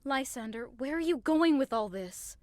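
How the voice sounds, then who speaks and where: A woman's voice: sits high, expressive, normal speed, a little mellow, dry recording, studio quality. A woman playing a short, hot-tempered young woman hurt in love, melodramatic and indignant, in a modernized Shakespeare audio drama. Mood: bewildered, hurt. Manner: melodramatic, indignant, outbursts swinging to wounded pleading.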